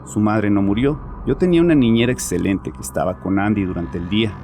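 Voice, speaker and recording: An actor playing a tormented, guilt-ridden father in a horror audio drama, confessing to a psychiatrist in an agitated, defensive, halting way. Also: fairly low pitch, mellow, natural voice. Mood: bitter, defensive. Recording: music behind the voice.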